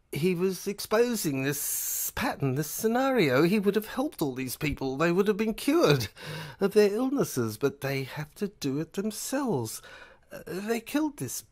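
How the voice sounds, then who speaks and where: A speaker of middling pitch performs in an amateur science fiction audio serial. The delivery is slow and halting, with stammers and repeated phrases as the character earnestly explains. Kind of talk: amateur audio drama dialogue.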